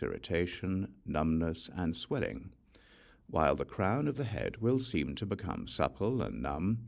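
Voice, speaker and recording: A man reads sacred instructional text in a low, creaky voice. His delivery is formal and measured, yet the intonation moves in lively rises and falls. It is scripted audiobook narration. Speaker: audiobook reader.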